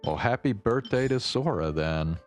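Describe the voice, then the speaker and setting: A man livestreaming in a casual, halting, rambling way, swearing offhandedly as he chats. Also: mid-range voice, lively intonation, soft background music. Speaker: live streamer.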